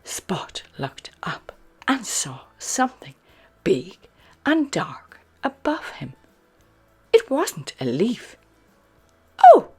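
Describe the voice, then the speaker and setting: Female children's storyteller: breathy, highly animated read-aloud, unhurried to an extreme, music underneath. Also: in a whisper. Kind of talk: expressive picture-book read-aloud. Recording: music behind the voice.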